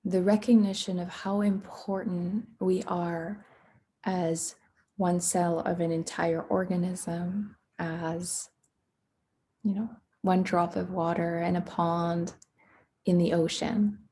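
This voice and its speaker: A woman guides a meditation in a very breathy, hushed voice with a deeply mellow, dark tone. She speaks slowly and soothingly, leaving long pauses between short phrases. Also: little pitch movement. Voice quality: soft, breathy.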